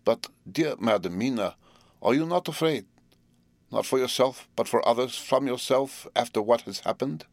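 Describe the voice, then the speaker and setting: A man voicing an elderly male professor, speaking gravely, slowly and emphatically in a fatherly way. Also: mid-range voice, dry recording, studio quality, pitched above the performer's own voice, lightly acted. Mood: gentle concern. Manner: grave, slow and emphatic pleading.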